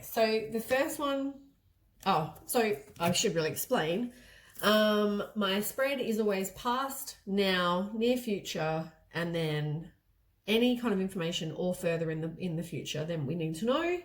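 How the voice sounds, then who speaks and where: A woman at a mid-range pitch gives a casual tarot reading, her voice stuffy and a little low from a head cold. She talks loosely and rambles, often stopping to correct herself mid-sentence. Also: animated, measured pace. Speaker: tarot reader.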